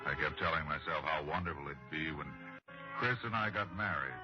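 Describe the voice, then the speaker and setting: An American actor playing a restless, irritable young husband and former flyer in a 1960s radio drama, brooding and curt. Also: male voice, rumbling low, fairly quick. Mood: brooding narration. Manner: hard-boiled, brooding, curt. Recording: dull old 1960s radio recording with music.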